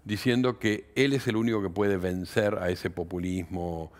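Man, sixties, Argentine accent, lowish pitch, explanatory interview talk, tag questions, headset mic. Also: steady tone, moderate pace. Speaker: interview guest, sociologist. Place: indoor studio set.

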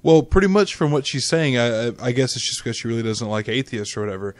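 A man's voice: medium pitch, quick pace, no echo. Close, dry-sounding man bantering on a podcast. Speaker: podcast co-host. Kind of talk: casual, profane banter.

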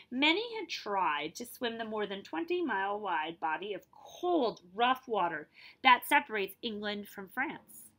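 A woman reads aloud to children in a fairly high voice with very lively, swooping pitch. Her tone is bright and her delivery emphatic, drawing out key words and pausing to explain things in simple language. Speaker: librarian reading aloud. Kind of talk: picture-book read-aloud with explanatory asides for children. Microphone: device camera at about arm's length.

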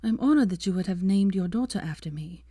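A woman's voice: medium pitch, expressive, a little fast, mellow, husky, no room sound, studio quality. A woman voicing a grateful friend in a story, speaking in a heartfelt, formal way.

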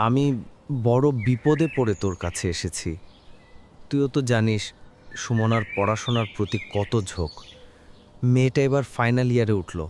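A man's voice: mid-range voice, darkish tone, slight background noise, natural voice. A man playing a troubled, humble middle-aged father in a cartoon drama, speaking in a weary, pleading way.

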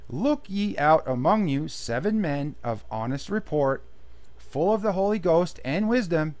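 A man's voice: fairly high pitch, darkish tone. A man teaching a Bible study, reading verses aloud and then explaining them plainly in his own words. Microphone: voice-over on slideshow.

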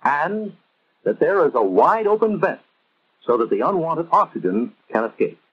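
An American man narrating a 1950s instructional film in a measured, emphatic, teacherly way, on an old film soundtrack. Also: a little high, big pitch swings, breathy edge, muffled recording.

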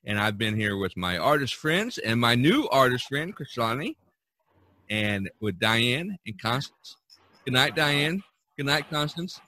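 Man hosting with wide-swinging pitch. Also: very animated, measured pace, pushed and bright. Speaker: podcast host. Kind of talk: casual chat.

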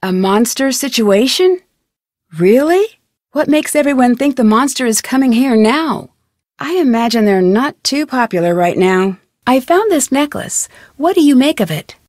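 An American actress playing a curious, polite, plucky young woman detective in a video game, speaking scripted lines in a clear, earnest way. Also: high voice, animated, moderate pace, darkish tone, no echo, studio quality. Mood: curious, friendly.

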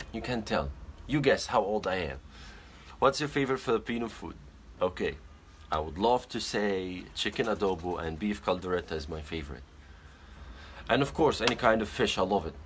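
A man's voice: fairly low pitch, lively intonation, moderate pace, a little hiss or hum. A young man from Egypt casually chatting on a phone livestream from his bedroom, reading out and greeting viewers by name. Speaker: livestream host. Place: small bedroom indoors. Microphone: phone camera at arm's length.

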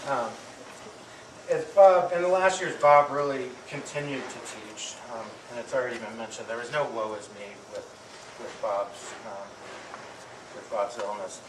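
A middle-aged man giving an affectionate eulogy to an audience, speaking haltingly with pauses and repeated words. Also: medium pitch. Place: medium-sized indoor hall with audience. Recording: distant mic, echoey room, clear background noise.

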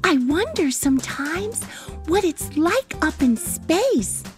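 A woman's voice: extremely high pitch, big pitch swings, slow pace, soft background music, pitched above the performer's own voice, heavily acted. A woman voicing a young princess, a restless and curious girl in a storybook, wistful and eager.

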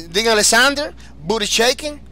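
High-voiced Dominican man streaming a game. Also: a little slow. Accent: Dominican Spanish. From Dominican Republic. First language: Spanish. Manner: energetic, repetitive, restarts phrases often. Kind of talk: live-stream chat, reading viewer comments.

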